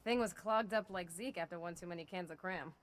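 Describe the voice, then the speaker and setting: A woman's voice: lowish voice, brisk, lightly acted. A brash, tough-talking mechanic, voiced by a woman, full of folksy gang slang. She talks plainly and directly, and a faint hum sits behind her voice. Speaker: game character. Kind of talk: video game dialogue.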